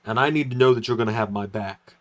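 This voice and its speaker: A man's voice: mid-range voice, quick pace, natural voice. A man voicing an earnest, proud wrestler in a video game story, speaking solemnly and insistently. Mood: pleading, insistent. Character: earnest, proud male wrestler pleading for loyalty.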